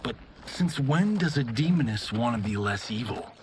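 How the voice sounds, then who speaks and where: A man's voice: mid-range voice, animated, moderate pace, noisy background, lightly acted. A man playing a skeptical, joking hero in a video game cutscene, speaking casually. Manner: casual, doubting.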